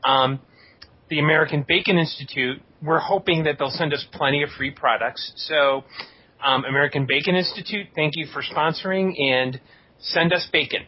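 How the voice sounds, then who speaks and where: A man co-hosting a podcast with casual banter, halting and repeating words as he goes. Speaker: podcast co-host. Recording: dull lo-fi audio with rumbling noise.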